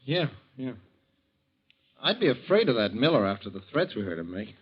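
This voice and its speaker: Sly, lively-voiced scheming son. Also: male voice, medium pitch, normal speed, breathy edge. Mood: sly, insinuating. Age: young adult. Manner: sly, hesitant, falsely solicitous. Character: scheming, weak young grown son, slyly insinuating. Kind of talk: 1940s radio acting. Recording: dull vintage 1940s radio recording.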